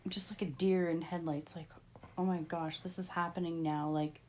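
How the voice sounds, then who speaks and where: A woman's voice: fairly low pitch, flat delivery, moderate pace, a little mellow, a little hiss or hum. A middle-aged woman with a Canadian accent, chatting casually as an interviewee in a family conversation. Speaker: interviewee, the host's wife.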